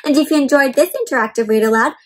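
A young woman reading a storybook aloud to children in an expressive, explanatory way. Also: high voice, fast talker. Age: young adult. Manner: expressive, explanatory, asks the listener questions. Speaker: host of a children's read-aloud show. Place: indoor room at home.

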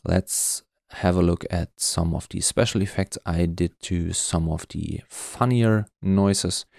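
Deep gravelly guy explaining stuff step by step, screencast tutorial. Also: fairly even intonation, takes it slowly, darkish tone, no echo, clean studio sound. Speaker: tutorial presenter.

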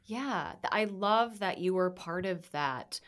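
Woman radio host, kinda low voice, friendly and eager with her guest. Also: lively intonation, takes it slowly, fairly bright tone. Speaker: radio arts show host. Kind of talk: radio interview. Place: radio studio.

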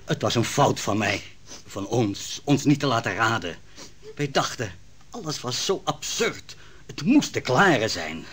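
A man's voice: medium pitch, very animated, unhurried, a bit bright, a little hiss or hum. An actor playing a royal counsellor fearing for his wife, speaking earnestly and with agitation in a vintage radio drama. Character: royal counsellor, Susanna's anxious husband.

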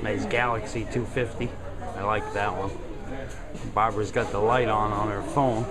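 A man's voice: on the low side, steady tone, moderate pace, over a noisy crowd. A man giving a casual, admiring handheld video tour as he talks from behind the camera inside a shop. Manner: enthusiastic, admiring exclamations. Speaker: vlogger touring a radio shop. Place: indoors in a cluttered radio shop. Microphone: handheld camera mic, behind the camera. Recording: busy shop background noise.

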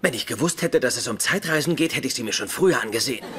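An actor playing a pedantic, petty young genius in a dubbed sitcom, speaking with smug precision. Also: male voice, medium pitch, expressive, quick pace, close to an everyday voice. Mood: smug, sarcastic. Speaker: sitcom character. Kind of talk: German-dubbed sitcom acting. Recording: sitcom soundtrack with audience laughter, noisy.

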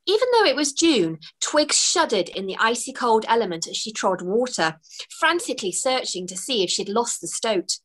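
A young woman reading her own children's book aloud over a video call from a room at home, expressive with gasps and small laughs. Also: a little high, moderate pace. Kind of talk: storybook narration. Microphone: webcam on a video call.

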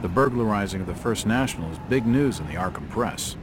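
An actor playing a wry, persistent hard-boiled detective in a video game, sardonic and probing. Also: male voice, on the low side, animated, fairly quick, noisy background, lightly acted.

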